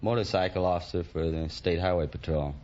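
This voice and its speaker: An American man with a low voice gives plain, brief, hesitant answers as a quiz show contestant. The vintage radio recording is dull and full of noise. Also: steady tone, brisk. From Illinois, US. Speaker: quiz show contestant, motorcycle police officer.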